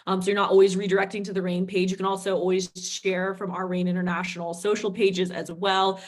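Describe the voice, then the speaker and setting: A woman, a marketing vice president, presenting on a company call with a slight rasp from a head cold, chatty and encouraging. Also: medium pitch, level tone, fairly quick. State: head cold, a little raspy.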